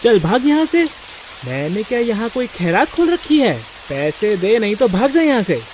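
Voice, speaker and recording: A woman's voice: on the high side, very animated, normal speed, darkish tone, a little hiss or hum. A woman doing a rude stingy shopkeeper. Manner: curt, dismissive.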